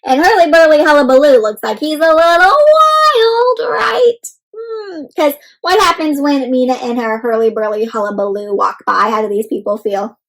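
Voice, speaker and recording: A woman reading a picture book aloud to children, expressive and full of questions, exclamations and little sound effects. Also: high voice, moderate pace. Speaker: children's read-aloud storyteller.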